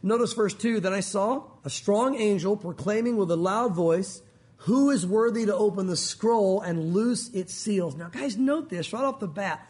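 A male pastor with a loud voice, preaching in a conversational, emphatic way. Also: high voice, moderate pace. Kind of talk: sermon.

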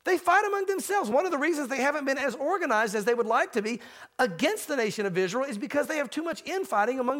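A pastor preaching from the pulpit, a man with a strikingly high voice. He drives his points home by repeating key phrases in an emphatic way. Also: fairly bright tone. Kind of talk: sermon.

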